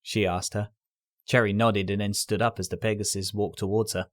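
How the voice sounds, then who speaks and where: A man's voice: on the low side, flat delivery, normal speed. A man reading scripted story narration as the narrator. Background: music.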